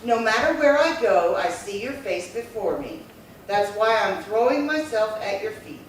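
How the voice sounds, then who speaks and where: A woman's voice: mid-range voice, very animated, moderate pace, close to an everyday voice. A woman playing a frank, skeptical maid in a 1950s radio comedy, recorded at a distance in an echoey hall. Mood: mock romantic. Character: frank, skeptical household maid, loyal and wry. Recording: echoey hall, distant mic, faint noise.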